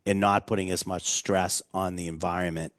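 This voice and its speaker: A man's voice: low-pitched, flat delivery, normal speed, no echo, clean studio sound. A man hosting a casual whiskey tasting, chatty and rambling with jokes. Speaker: host.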